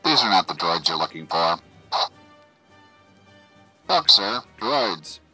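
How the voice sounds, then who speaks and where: A man's voice: low voice, big pitch swings, takes it slowly, bright, forceful tone, breathy edge, soft background music, exaggerated voice. A man voicing a curt, commanding armored space soldier from a film, through a radio voice changer. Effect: radio voice changer with static bursts. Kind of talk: film character catchphrases in a gadget demo.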